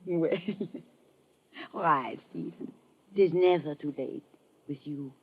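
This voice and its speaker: An actress playing an affectionate, coaxing femme fatale, intimate and theatrical, in an old-time radio drama. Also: female voice, low-pitched, slow, breathy edge, exaggerated voice. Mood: seductive, coaxing. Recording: dull vintage radio recording.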